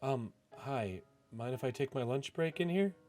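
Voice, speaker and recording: A man voicing a shy, kind young woman coworker in a visual novel, tentative and gentle. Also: medium pitch, lively intonation, measured pace, pitched above the performer's own voice, lightly acted. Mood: hopeful, timid. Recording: music playing behind the voice.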